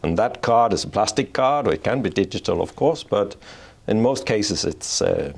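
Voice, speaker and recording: A middle-aged man from Denmark speaks with a gravelly, fairly low voice. He explains things patiently as an interview guest, circling back and rephrasing as he goes. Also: expressive, moderate pace. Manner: explanatory, self-restarting. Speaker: payments strategy executive, guest. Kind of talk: interview answers. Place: office in Oslo.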